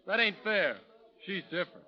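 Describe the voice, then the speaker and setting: An actor playing a hearty, folksy and blustering frontier scout in a 1930s radio drama. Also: male voice, high voice, moderate pace. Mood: hearty, defensive. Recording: muffled vintage 1930s radio broadcast.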